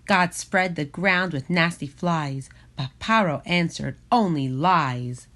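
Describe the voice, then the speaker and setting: Slow, lowish-voiced woman reading a storybook. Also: fairly bright tone. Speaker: storybook reader. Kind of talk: rhyming storybook narration for children.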